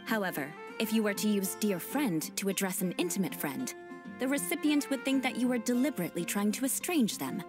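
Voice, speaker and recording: A woman's voice: mid-range voice, lively intonation, a little fast, natural voice. An actress voicing a proud young noblewoman in a fantasy game who speaks in stiff, formal aristocratic phrasing. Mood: explaining. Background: game music.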